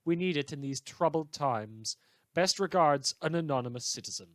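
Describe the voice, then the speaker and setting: A man plays a mocking member of the public writing in to a fictional radio show. The tone is mock-congratulatory and sarcastic, with lively intonation in a dry, close recording. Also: on the high side, moderate pace, no room sound, natural voice. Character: sarcastic anonymous citizen mocking a radio host by letter.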